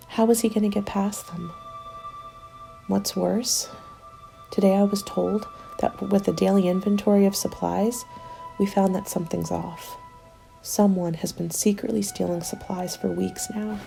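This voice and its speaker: A woman playing a weary survivor of a zombie winter in a soft, breathy, confiding ASMR roleplay. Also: mid-range voice.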